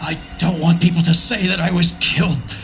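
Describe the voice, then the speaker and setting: An actor playing Abimelech, a high proud warlord. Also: male voice, fairly even intonation, moderate pace, music in the background, natural voice. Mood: dying. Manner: commanding, proud. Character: fierce warlord Abimelech, adult man.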